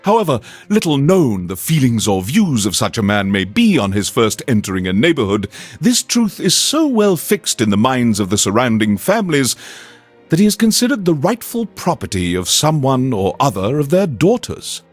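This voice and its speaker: Casting: cultured alien tyrant hosting classical radio, husky, highly animated, grandly theatrical game voice. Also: male voice, normal speed, no room sound, faint music behind. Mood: stately. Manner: theatrical, pompous, refined. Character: pompous alien overlord playing a cultured radio host. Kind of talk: classical radio DJ and literary narration.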